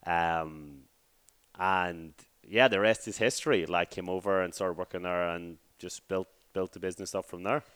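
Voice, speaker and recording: An Irish man in his thirties, a fitness coach telling his story as a podcast guest in a relaxed, rambling way. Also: sits low, moderate pace, clean tone, no room sound. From Ireland. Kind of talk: casual interview chat.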